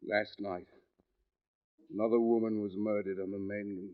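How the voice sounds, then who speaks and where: An actor playing a brooding, tormented husband, both menacing and tender, with intense, halting melodrama in 1940s radio mystery style. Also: male voice, fairly low pitch, little pitch movement, close to an everyday voice. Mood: grim anguish. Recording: dull old radio recording.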